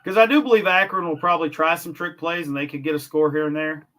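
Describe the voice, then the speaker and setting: A man's voice: fairly high pitch, fairly even intonation, quick pace, a bit bright. A man co-hosting a casual sports podcast, talking emphatically and hedging his own predictions. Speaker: podcast co-host.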